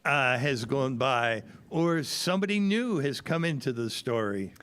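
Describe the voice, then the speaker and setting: A man presenting a scripted audio theater show to a family audience, explaining things deliberately. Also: mid-range voice, lively intonation, a bit bright, clean tone. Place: live event at a public library.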